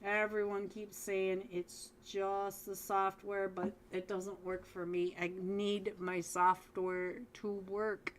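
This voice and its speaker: A woman casting a mobile esports match live as a solo caster, chatty and talking straight to viewers, with a voice she says is going hoarse. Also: mid-range voice, flat delivery, slow pace, few pauses, slight background noise. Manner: chatty, talks straight to viewers, frequent restarts. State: losing her voice. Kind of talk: live game commentary.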